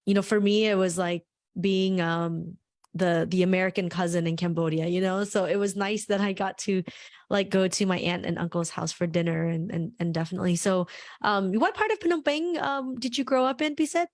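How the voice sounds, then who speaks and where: A woman's voice: medium pitch, moderate pace, a little mellow, no echo, studio quality. Chatty woman hosting a podcast interview. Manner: friendly, chatty, many fillers and restarts. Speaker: podcast host. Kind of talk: casual interview conversation.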